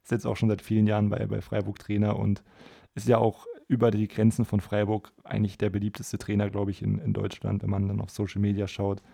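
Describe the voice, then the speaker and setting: A man with a dark, relaxed voice and some fry chats casually as a football podcast co-host. He speaks in a flat, hesitant way, often repeating words, and the recording is close and dry. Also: on the low side, brisk, no echo. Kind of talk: casual conversational football discussion.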